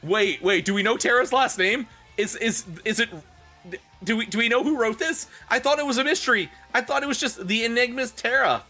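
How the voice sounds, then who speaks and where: Brief: male streamer, forceful bright delivery, theatrical and joking, swears freely, guitar music underneath. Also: extremely high pitch, brisk. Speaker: livestreamer and host. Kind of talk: dramatic reading of fan fiction with casual asides to chat.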